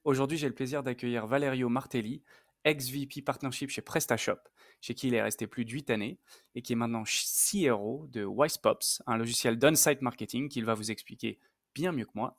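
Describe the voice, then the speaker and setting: A man's voice: medium pitch, fairly quick, dry recording, studio quality. A man hosting a podcast, reading a scripted intro and then asking questions that trail off with fillers. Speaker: podcast host.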